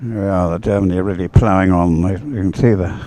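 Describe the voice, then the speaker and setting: A man giving live sports race commentary in a measured, hesitant, observational manner. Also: sits low, steady tone, warm tone.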